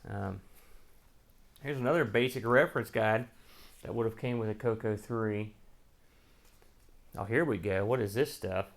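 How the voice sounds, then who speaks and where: A man's voice: on the low side, unhurried, creaky, long pauses. An American man hosting a casual unboxing, rambling and thinking aloud as he handles each item. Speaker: host of a retro computing show.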